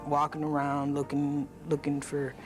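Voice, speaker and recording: A woman's voice: low voice, monotone, measured pace. A woman speaking plainly in an interview, recounting her own experience. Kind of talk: plain-spoken documentary interview. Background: music.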